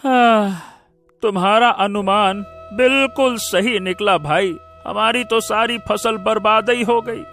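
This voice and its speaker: A man's voice: high voice, slow, fairly bright tone, faint music behind, exaggerated voice. A man voicing an upbeat, reassuring poor village farmer in a cartoon story, exclaiming and drawing out his words. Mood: dismayed. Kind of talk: Hindi cartoon story voice acting.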